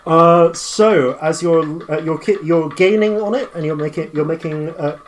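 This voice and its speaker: A man running a tabletop roleplaying game, improvising his narration in a halting way as game master. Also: on the high side, a little hiss or hum.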